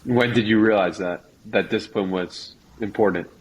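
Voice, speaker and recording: A man's voice: on the low side, moderate pace, low-quality recording. A man hosting a podcast interview, prompting his guest with short, curious follow-up questions. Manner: brief curious prompts and back-channel. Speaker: podcast host. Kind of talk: interviewer asking follow-ups.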